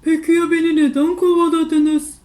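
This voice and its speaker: A woman's voice: extremely high pitch, little pitch movement, moderate pace, mellow, a little hiss or hum. A woman voicing a chubby, hungry, good-natured bear in a children's story, with a plain, childlike manner. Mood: puzzled.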